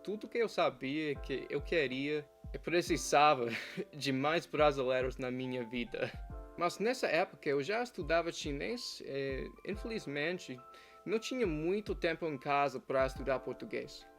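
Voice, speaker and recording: Cheerful American man, higher voice. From United States. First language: English. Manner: cheerful, chatty, laughs often, lively pitch jumps. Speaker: language vlogger. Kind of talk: upbeat personal storytelling. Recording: faint background guitar music.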